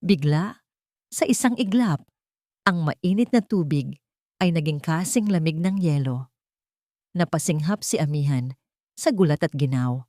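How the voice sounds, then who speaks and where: A woman's voice: sits low, expressive, measured pace, pauses often, mellow, no room sound, clean studio sound. A woman narrating a scripted dramatic story as its storyteller.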